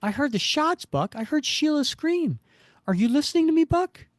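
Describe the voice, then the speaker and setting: A desperate young woman pleading urgently in a high, strained voice, put on by a man. She sounds frightened and pushes every line. The sound is close and dry. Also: big pitch swings, moderate pace, no room sound.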